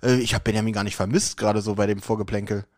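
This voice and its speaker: Quick-talking man co-hosting a podcast, dry sound. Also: fairly low pitch, steady tone, no room sound. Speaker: podcast co-host. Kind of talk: casual joking podcast chat.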